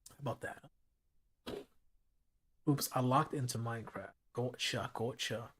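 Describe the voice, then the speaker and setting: A man running a late-night live stream, talking casually and directly to his chat, with his voice gone hoarse from hours of talking. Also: mid-range voice, steady tone, measured pace, a bit bright, slight background noise. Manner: casual, chatty, talks straight to his chat.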